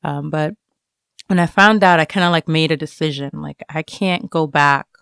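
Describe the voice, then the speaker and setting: A woman's voice: deep voice, moderate pace, vocal fry, fairly bright tone, clean tone, dry recording, studio quality. A woman from Jamaica who came to America as a child, answering interview questions reflectively, feeling her way through personal thoughts. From Jamaica, moved to America as a child. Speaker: student writer, interview guest.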